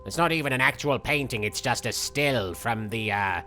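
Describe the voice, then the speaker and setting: A man's voice: medium pitch, normal speed, sharp tone, faint music behind, close to an everyday voice. A man voicing a cocky, flamboyant young anime villain who makes vain, petulant quips as in-character game commentary. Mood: mocking, amused. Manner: theatrical, vain, quippy. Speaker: in-character let's player.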